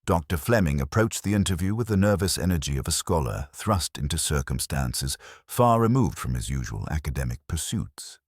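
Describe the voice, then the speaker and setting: Very deep male mystery narrator. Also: dry recording, studio quality. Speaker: audiobook narrator. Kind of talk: scripted mystery story narration.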